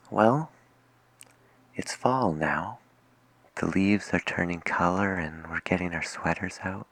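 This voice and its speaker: A man with a low voice hosts a late-night radio ASMR roleplay as the DJ. He is soft-spoken and gentle, taking his time with slow, reflective phrases. The sound is dry and close to the microphone. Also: no room sound, rough recording.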